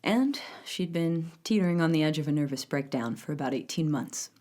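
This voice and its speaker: A woman's voice: low voice, animated, brisk, dark tone. A woman narrating backstory in an audio drama in a matter-of-fact way.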